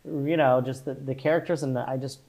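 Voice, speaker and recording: A man hosting a casual interview, prompting his guest with chatty questions and quick interjections. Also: mid-range voice, steady tone, gravelly, a little mellow. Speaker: podcast host, interviewer.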